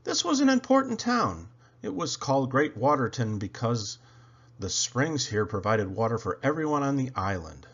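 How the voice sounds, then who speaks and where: An authoritative gentleman railway boss from a children's storybook, acted out by a man reading aloud. He explains and proclaims approvingly with lively, wide-ranging pitch. The recording is a bit dull. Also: normal speed, breathy edge. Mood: proud, informative.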